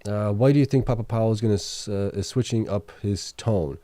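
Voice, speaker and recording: A man with a lowish, mellow voice hosts a late-night livestream and works through figures out loud, stopping often to correct himself. His voice is starting to wear out. The sound is dry and close, with no room echo. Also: a little fast. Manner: thinks aloud, many fillers and self-corrections; reads out viewer chat questions. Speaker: livestream host. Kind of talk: casual livestream chat reading financial results.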